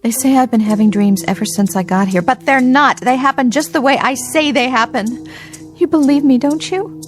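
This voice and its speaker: An actress playing a feisty, anxious hospitalized dancer in a radio drama, with an American accent and a slangy, defiant, wisecracking manner. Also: female voice, high voice, normal speed, soft background music, natural voice. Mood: pleading. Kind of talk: old-time radio drama acting.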